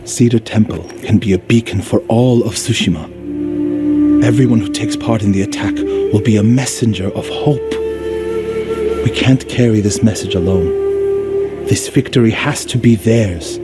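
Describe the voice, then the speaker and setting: A fairly low male voice , performed by an actor, of a devoted warrior monk in a video game drama. He speaks earnestly and deliberately, resolute and respectful, with loud music underneath. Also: natural voice. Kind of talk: dramatic game cutscene acting.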